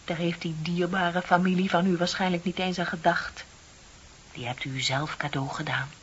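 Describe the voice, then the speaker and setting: Actress playing embittered middle-aged female colleague, radio play, emphatic, sardonic, confiding, slightly low-fi hissy recording. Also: steady tone, moderate pace, highs cut off, lightly acted. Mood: confessing, needling. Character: bitter, lonely middle-aged female office worker, needling a colleague. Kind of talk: Dutch radio play acting.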